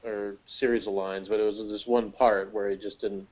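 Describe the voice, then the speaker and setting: A man's voice: lowish voice, flat delivery, normal speed, a little mellow. A man chatting casually as a podcast reviewer, in a rambling, jokey way. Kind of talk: casual chat. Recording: dull, lo-fi voice recording.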